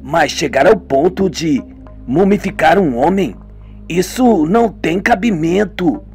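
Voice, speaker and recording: A man's voice: fairly high pitch, a little slow, a little mellow, breathy edge, soft background music, full character voice. A man voicing an anxious young woman asking questions, in a lightly raised voice, in a homemade radio drama.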